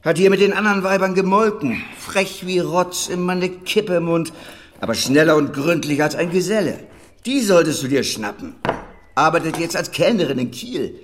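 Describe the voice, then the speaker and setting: Radio drama: male actor as middle-aged master farmer, gruff but hearty, wry, plain-spoken, naturalistic delivery. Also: on the high side, expressive, moderate pace, slight background noise, close to an everyday voice. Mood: jovial, urging. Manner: earthy, colloquial, wry, chuckling asides. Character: gruff, hearty older dairy master, fatherly to his former apprentice.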